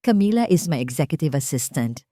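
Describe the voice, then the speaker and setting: A woman's voice: low voice, very animated, a little fast, warm tone, dry recording, studio quality. A woman voicing an arrogant male executive in a romance story, formal and boastful. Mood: smug, boastful.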